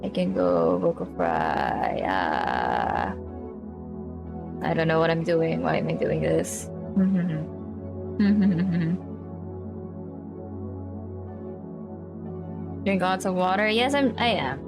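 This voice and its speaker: A woman from the Philippines, slightly raspy, chatty and giggly as she reads a visual novel aloud on stream. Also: fairly low pitch, big pitch swings, drawn-out words, long pauses. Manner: chatty, giggly, reacting to the game. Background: game music.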